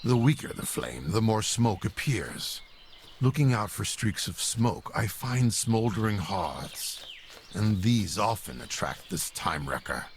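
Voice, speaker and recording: An actor playing a brooding male sage sorcerer, delivering a solemn, deliberate monologue in a fantasy game. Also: on the low side, big pitch swings, slight background noise. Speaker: narrating protagonist.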